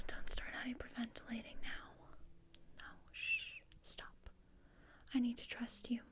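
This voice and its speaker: A woman playing a morbid, bossy gothic teenage girl in a breathy, deadpan ASMR roleplay whisper, close to the microphone. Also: fairly high pitch, takes it slowly, exaggerated voice. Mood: grudging, cutting. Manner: deadpan, dry, matter-of-fact. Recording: close-up with clear noise and clicks.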